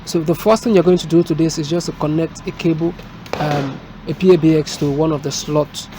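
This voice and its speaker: Male technician for hands-on tutorial: halting step-by-step delivery, slightly high pitch, clear street noise behind. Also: fairly even intonation. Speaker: technician presenting a product installation. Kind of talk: unscripted hands-on tutorial and review. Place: indoors at a desk, filmed from above. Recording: noisy room with street and vehicle sounds.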